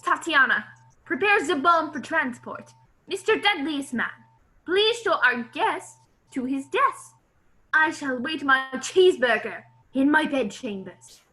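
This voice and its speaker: Casting: childish villain boss female performer, forceful high voice, lively swings, commanding, petulant, comedic stage reading. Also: unhurried, full character voice, low-quality recording. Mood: pompous commanding. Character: pompous, childish villain boss, bossy and possessive. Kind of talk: amateur comedic script reading.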